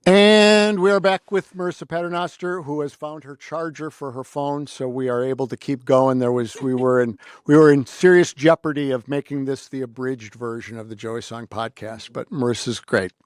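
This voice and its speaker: A man's voice: mid-range voice, fairly even intonation, normal speed, few pauses, clean tone, dry recording, studio quality. A middle-aged man hosting a casual interview in a chatty, rambling way. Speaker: podcast host.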